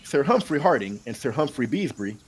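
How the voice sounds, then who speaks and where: Animated man reading a fantasy audiobook. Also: medium pitch, fairly quick, breathy edge, rough recording. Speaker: audiobook narrator. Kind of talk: expressive amateur audiobook narration.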